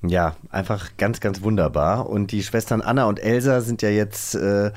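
A man's voice: fairly low pitch, fairly even intonation, moderate pace, slight background noise. A man hosting a casual magazine show, feeling his way through sentences with some hesitation. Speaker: host.

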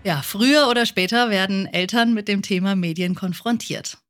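A woman's voice: medium pitch, normal speed, a bit bright, soft background music. A woman presenting and interviewing as a podcast host.

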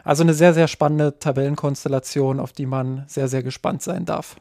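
A man's voice: mid-range voice, steady tone, a little fast, creaky, dark tone, no room sound, clean studio sound. A man co-hosting a football podcast in casual chat, hesitant and full of fillers, often repeating words as he goes. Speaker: podcast co-host.

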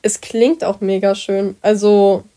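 Mellow-voiced young woman, casual chat. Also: medium pitch, level tone, unhurried. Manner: casual, short encouraging replies. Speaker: podcast co-host.

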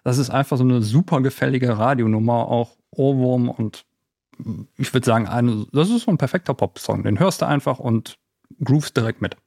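A man's voice: medium pitch, normal speed, vocal fry, a little mellow, no room sound, clean studio sound. A man hosting a podcast in a casual, chatty style. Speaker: podcast host. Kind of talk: casual chat.

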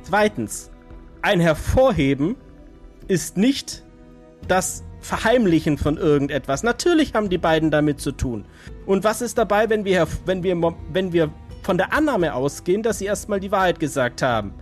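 A man's voice: sits high, expressive, moderate pace, soft background music. A man playing a hot-tempered vampire enforcer in an audio drama, insistent and stammering as he repeats himself. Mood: indignant, defensive. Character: hot-tempered male vampire enforcer defending his loyalty. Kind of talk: German audio drama acting.

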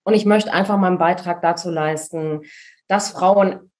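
A woman's voice: fairly low pitch, fairly even intonation, moderate pace, darkish tone. A woman who is a business coach answering podcast interview questions in a casual, enthusiastic way, with slangy words and frequent laughter.

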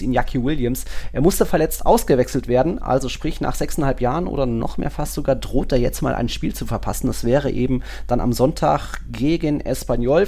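A man's voice: mid-range voice, mellow, slightly rough. A man co-hosting a football podcast, speaking informally and thinking aloud as he goes. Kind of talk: casual football podcast chat.

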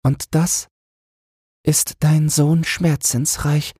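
A man voicing a gentle, pious young queen and mother in a fairy tale audiobook. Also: on the high side, flat delivery, very slow, husky, no room sound, studio quality, voice pushed up, full character voice. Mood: gentle, calm.

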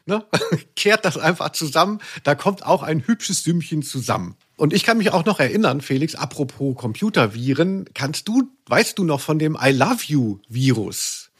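Podcast co-host, man, forties, a little high in pitch, casual, rambling, self-mocking storyteller. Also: very animated, normal speed, a bit bright, breathy edge. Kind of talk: casual humorous anecdotal chat.

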